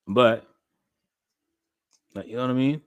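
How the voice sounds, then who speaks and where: A young man, talking to his livestream chat in an animated, preacherly way. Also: medium pitch, measured pace, clean tone, no echo, muffled recording. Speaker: streamer and podcast host.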